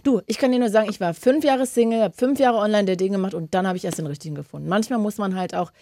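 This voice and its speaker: A woman hosting a radio call-in show, chatting casually and giving callers advice. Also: mid-range voice. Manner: chatty, warm-hearted advice, fast talking. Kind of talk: casual radio phone-in chat.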